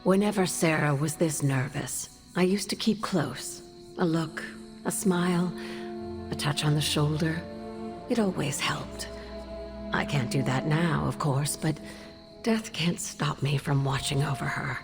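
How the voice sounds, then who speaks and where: A woman voicing a gentle, motherly ghost in a game, speaking tenderly and without hurry. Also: low-pitched, unhurried, music in the background. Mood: tender, wistful. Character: gentle, motherly female ghost watching over loved ones.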